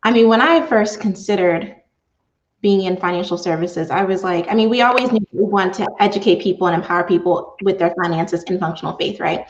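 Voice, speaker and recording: A woman in her thirties hosting a casual chat, expressive and chatty, often acting out her own thoughts. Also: on the low side, fairly quick. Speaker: interview host, coach. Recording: muffled, echoey, noisy online audio.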